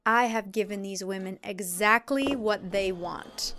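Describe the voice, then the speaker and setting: A woman's voice: medium pitch, unhurried, background noise, natural voice. A woman voicing a condescending, menacing male cult leader and husband in a thriller audio drama. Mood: self-righteous. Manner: condescending, mocking, self-righteous.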